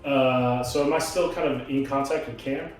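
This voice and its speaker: A man's voice: medium pitch, steady tone, moderate pace, faint music behind. A man chatting casually and swearing loosely as he plays a tabletop roleplaying game, recorded in an echoey room away from the mic.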